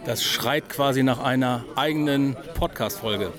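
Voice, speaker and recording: A man's voice: medium pitch, unhurried. A man interviewing people casually on site as a podcast host. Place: busy audio drama convention. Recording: loud busy convention crowd behind voice.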